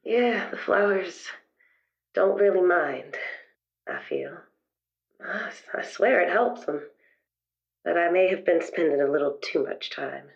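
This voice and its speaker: A weary, middle-aged therapist acted by a woman muses in a low, creaky voice. She is halting and reflective, gentle with bitterness underneath, as in an improvised roleplay podcast. The sound is slightly dulled. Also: lo-fi sound. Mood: wistful, gentle. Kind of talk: improvised in-character roleplay for an actual play podcast.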